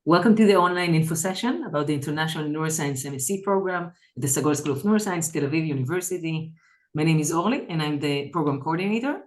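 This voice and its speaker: Low-voiced woman program coordinator. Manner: welcoming, many fillers. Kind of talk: online info session hosting. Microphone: video call.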